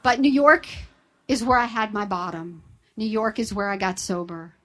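A woman's voice: mid-range voice, moderate pace, fairly bright tone. Candid woman in her fifties. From Southern United States. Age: 50s. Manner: candid, self-deprecating, direct. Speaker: speaker sharing her recovery story. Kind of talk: personal testimony to an audience.

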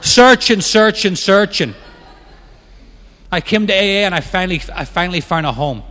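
A middle-aged man with a Northern Irish accent, telling his recovery story as main speaker at a meeting, quick and self-mocking. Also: high-pitched, fairly bright tone, in a large hall. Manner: fast-talking, self-mocking humor. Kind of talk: personal recovery talk.

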